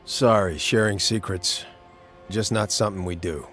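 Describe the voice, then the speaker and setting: Actor as a low-voiced, blunt monster hunter. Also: male voice, normal speed, fairly bright tone, lightly acted. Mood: guarded. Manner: laconic, matter-of-fact, clipped colloquial phrasing. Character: world-weary, blunt male monster-hunting mercenary. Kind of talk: fantasy game dialogue. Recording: background music under the dialogue.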